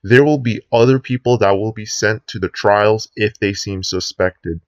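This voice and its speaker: A man playing a sinister US president who gives a grave, deliberate address to the nation in an emergency broadcast drama. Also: fairly low pitch, dry recording, highs cut off, close to an everyday voice. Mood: grave, menacing. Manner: grave, deliberate speechmaking with drawn-out ominous phrases.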